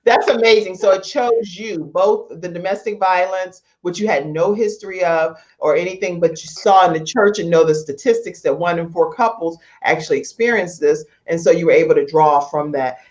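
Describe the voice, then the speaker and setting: A woman hosting a casual live online talk show in a chatty, expressive, informal way over lo-fi call audio. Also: fairly low pitch, moderate pace, hardly any pauses. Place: live video stream from a studio setup.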